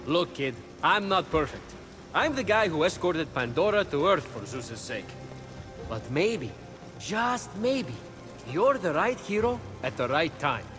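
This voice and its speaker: A cheeky young god from a video game, full of flippant, wry patter. The voice sits high and swings animatedly, like a glib salesman, over loud music. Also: big pitch swings, unhurried. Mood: flippant, encouraging.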